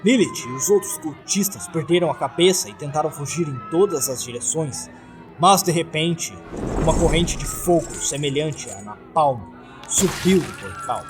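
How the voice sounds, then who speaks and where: A man with a Brazilian accent narrating a scripted horror story. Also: on the high side.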